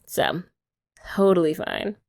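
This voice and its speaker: A young woman doing a soft, teasing, affectionate ASMR girlfriend roleplay, often laughing, close to the mic. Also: fairly low pitch, wildly swooping pitch, slow pace, vocal fry.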